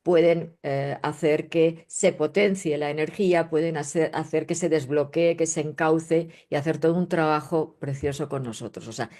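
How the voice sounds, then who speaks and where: A woman's voice: sits low, darkish tone. A woman with a Spanish accent from Spain, speaking as an interview guest in an emphatic, didactic way and often checking in with rhetorical questions. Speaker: guest, astrologer and spiritual researcher. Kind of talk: conversational interview explanation.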